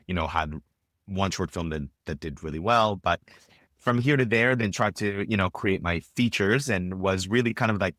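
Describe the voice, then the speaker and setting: Low-voiced man, relaxed rambling. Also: dry recording, studio quality. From Israel. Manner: rambling, many fillers and restarts. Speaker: podcast guest, filmmaker. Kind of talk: casual interview chat.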